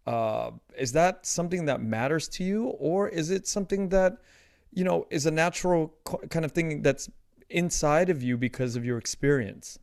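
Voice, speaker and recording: A man's voice: on the high side, animated, normal speed, creaky, a little mellow, dry recording, studio quality. A man hosting a podcast, asking a guest loose, conversational questions. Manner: casual, rambling questions. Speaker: podcast host, interviewer.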